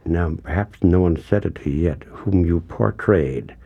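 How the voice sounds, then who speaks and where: A man's voice: normal speed, vocal fry, husky, narrow, tinny audio. An older man interviewing a guest, telling stories animatedly and acting out the people he quotes, his voice jumping up for emphasis. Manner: animated storyteller, acts out quotes, pitch jumps up for emphasis. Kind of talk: conversational interview.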